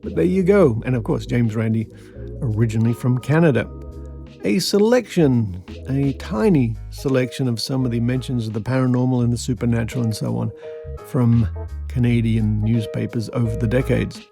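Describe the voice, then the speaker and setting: A man's voice: medium pitch, normal speed, gravelly, warm tone, soft background music. A man hosting a podcast, reading out old newspaper clippings with dry, wry asides. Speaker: podcast host.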